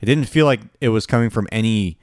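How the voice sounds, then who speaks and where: A young man with a very low voice chats on a podcast, hesitating and circling back as he weighs his opinions. The recording is close and dry. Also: quick pace, darkish tone, dry recording. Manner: hesitant, restarts, hedging opinions. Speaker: podcast co-host. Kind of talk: casual music chat.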